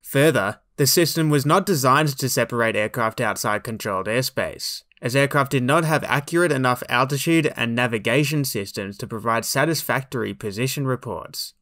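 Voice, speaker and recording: A man narrates a documentary from a script in a mid-pitched voice. His delivery is measured and formal, explaining things plainly, recorded close and dry. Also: fairly bright tone, dry recording.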